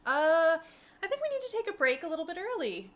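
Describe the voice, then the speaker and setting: A woman's voice: extremely high pitch, big pitch swings, fast talker, fairly bright tone. A woman chatting casually and improvising as a player in a tabletop roleplaying game. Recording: background room noise.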